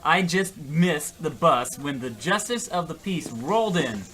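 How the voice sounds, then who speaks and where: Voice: medium pitch, lively intonation, unhurried, very noisy, natural voice. An actor playing a dull, hapless rejected suitor, rambling and whining awkwardly in an old-time radio comedy style.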